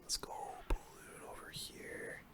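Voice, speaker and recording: A gaming host doing casual ASMR commentary in a soft, breathy, unvoiced whisper, murmuring play-by-play with gamer slang close to the mic. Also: a little slow.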